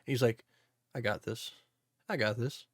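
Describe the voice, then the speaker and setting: A man's voice: medium pitch, steady tone, unhurried, fairly bright tone, no room sound, studio quality. A man co-hosting a podcast, ranting casually and emphatically with strong opinions about films. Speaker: podcast co-host. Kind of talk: casual opinionated movie chat.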